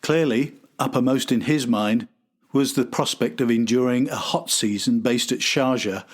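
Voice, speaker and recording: A man's voice: mid-range voice, normal speed. A man hosting and narrating a history documentary from a script. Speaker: host and narrator.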